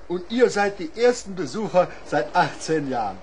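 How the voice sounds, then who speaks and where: A man's voice: high-pitched, very animated, normal speed, darkish tone, breathy edge, full character voice. A man playing a lonely, jovial old lighthouse keeper in a children's radio play, with hearty, folksy exclamations. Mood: hearty, welcoming.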